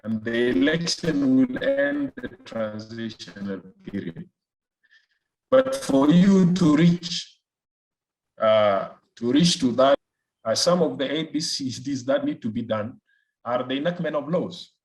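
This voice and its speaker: A man with a South Sudanese accent speaks as an analyst being interviewed. His pitch sits in the middle range. He builds his points carefully, with hesitant repeats along the way. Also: very animated, creaky, darkish tone, poor audio. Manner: hesitant, deliberate phrasing with repeated words. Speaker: research fellow, interview guest.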